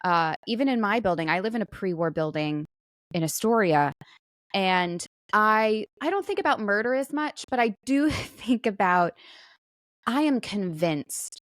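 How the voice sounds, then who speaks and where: Female podcast co-host, casual and musing, medium-pitched voice, very dry close recording. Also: animated, unhurried, no echo. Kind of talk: casual chat.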